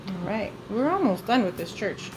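A woman's voice: mid-range voice, sing-song, normal speed, noisy background. A woman giving an informal step-by-step drawing lesson for children, thinking aloud as she works. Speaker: art teacher. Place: indoors at a table.

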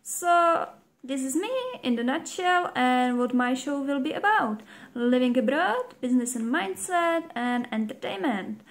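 Young Czech woman host, high voice, playful and upbeat, second-language English. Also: lively intonation, a little slow. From Czech Republic. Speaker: show host. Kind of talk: scripted intro to camera. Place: home room, indoors.

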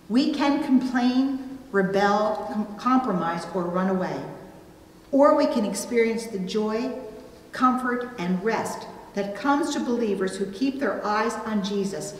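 A middle-aged woman preaching a sermon from a pulpit, with deliberate, emphatic phrasing and pauses. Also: mid-range voice. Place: indoors at a church pulpit. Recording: roomy, reverberant church, distant, faint noise.

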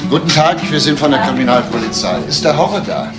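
A man's voice: on the high side, animated, a little fast, loud music behind the voice, close to an everyday voice. A man playing a blunt, probing, matter-of-fact police detective in a German crime radio play, on an old recording. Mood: brisk.

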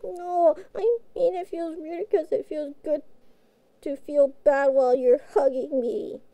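A woman playing a childlike, guilt-ridden catgirl pet in a fantasy audio roleplay, speaking in halting, pleading, naive phrases. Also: high-pitched, steady tone, dark tone, dry recording. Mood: sheepish, softening.

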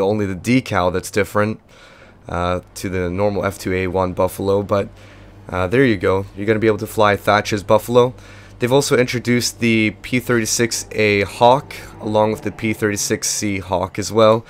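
A young man hosts a casual walkthrough of a game, talking in a chatty, rambling way with plenty of fillers. He has lost his voice, so he sits fairly low and stays stuck in one narrow band of pitch. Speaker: gaming video host.

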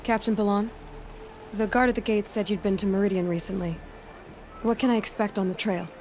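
A woman's voice: medium pitch, steady tone, brisk, a little mellow, a little hiss or hum, lightly acted. A young woman playing a blunt, self-possessed huntress in a video game, speaking tersely and directly. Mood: businesslike. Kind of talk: video game dialogue acting.

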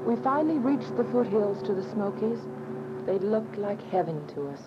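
A woman's voice: mid-range voice, very animated, moderate pace, heavy background noise, lightly acted. A woman playing an earnest, devout, anxious woman who narrates and speaks in a radio drama. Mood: solemn, reflective. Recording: muffled radio drama with music behind.

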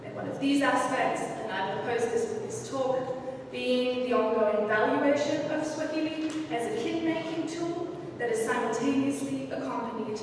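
A fairly high-voiced woman reads her conference paper in a steady, measured way. Her voice rings out in a large echoey lecture hall. She is caught from across the room by a distant mic. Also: slight background noise. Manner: measured, scripted delivery with occasional fillers. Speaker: academic panelist. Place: lecture room with projected slides. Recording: echoey hall recorded from far off.

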